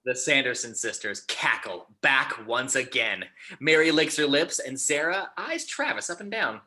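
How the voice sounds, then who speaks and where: A man narrates scripted stage directions. His voice is bright and forceful at a middling pitch, with lively, wide-ranging intonation. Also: normal speed.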